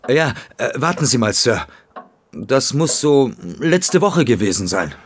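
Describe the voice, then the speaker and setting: An actor playing a respectful, plain-spoken stable lad in a German audio drama, simple and a little hesitant. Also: male voice, mid-range voice, big pitch swings, a little slow, a little hiss or hum. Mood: deferential.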